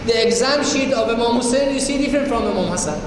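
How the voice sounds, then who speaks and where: A man's voice: very high voice, quick pace. A man giving a religious lecture, telling stories and putting rhetorical questions to his listeners. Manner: rhetorical questions to the audience, storytelling. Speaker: Islamic preacher. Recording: echoey hall with noise.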